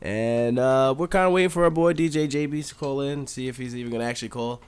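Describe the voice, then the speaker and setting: A man's voice: medium pitch, fast talker, clear, resonant tone. A young man with a nasal voice, co-hosting a casual podcast, rambling loosely with frequent laughs and casual swearing. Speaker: podcast co-host. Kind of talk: casual chat and spoken freestyle rap.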